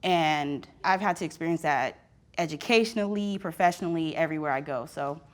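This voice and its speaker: A woman's voice: low voice, a little fast. A woman in her twenties speaking with confidence and pride about her body. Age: 20s. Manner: confident, proud. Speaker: participant. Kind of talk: candid conversation.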